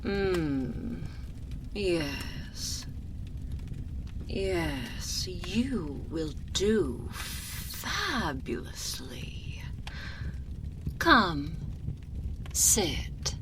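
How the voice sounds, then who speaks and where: An imperious aristocratic vampire countess, seductive and sinister in an intimate ASMR roleplay. Her voice is fairly low for a woman and swoops expressively, with velvety purrs that trail into breathy whispers. She speaks extremely slowly and haughtily, savoring every phrase. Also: sing-song, exaggerated voice. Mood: sly, menacing. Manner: haughty, velvety, drawn-out purring delivery with breathy whispered endings. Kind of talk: binaural ASMR roleplay, theatrical character acting. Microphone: close binaural microphone.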